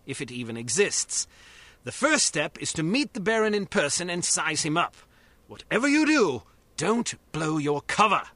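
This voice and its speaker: A man's voice: sits high, sharp tone, no echo, full character voice. A man playing a formal, authoritative spy agency chief giving a mission briefing in a video game.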